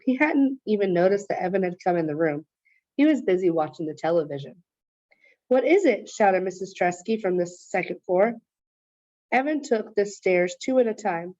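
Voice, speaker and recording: A woman's voice: fairly low pitch, animated, moderate pace, darkish tone. Woman doing a read-aloud of a kids book, kinda muffled lofi audio, no echo. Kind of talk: read-aloud storybook narration.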